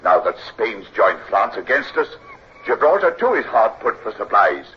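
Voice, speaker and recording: Gruff, commanding English captain for radio drama, hugely varied intonation, dull vintage sound. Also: male voice, fairly low pitch, very animated, exaggerated voice. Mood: stern. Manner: authoritative, brisk. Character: commanding, gruff British navy captain, older, brisk. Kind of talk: old-time radio drama acting. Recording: dull, noisy old radio recording.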